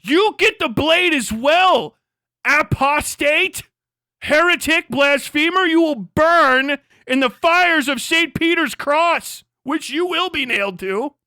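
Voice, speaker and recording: Man doing a loud, high, wrathful crusader zealot. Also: expressive, slow pace, no echo, exaggerated voice.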